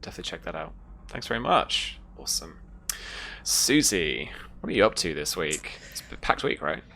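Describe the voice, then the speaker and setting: A man's voice: sits low, very animated, normal speed, gravelly, bright, forceful tone, slight background noise. A man hosting a gaming stream, thanking players and chatting casually. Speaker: host and game master. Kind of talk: casual stream sign-off chat.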